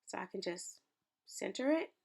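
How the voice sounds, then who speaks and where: Raspy, chatty woman with gravelly voice. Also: medium pitch, expressive, normal speed, darkish tone. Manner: chatty, upbeat, addresses viewers directly. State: raspy from early morning. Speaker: small business owner and lifestyle creator. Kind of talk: screen-share tutorial walkthrough.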